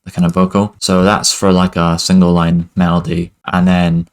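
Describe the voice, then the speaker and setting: Young man, deep voice, monotone, casual tutorial, filler-heavy, home studio. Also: moderate pace, smooth. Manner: relaxed, many fillers like "kind of" and "basically". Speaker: music production tutor. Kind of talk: casual screen-recorded tutorial.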